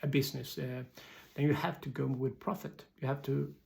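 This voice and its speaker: Man, Swedish accent, very gravelly creaky voice, mid pitch, halting non-native phrasing, informal presentation. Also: steady tone, darkish tone. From Sweden. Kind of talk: informal explanatory talk.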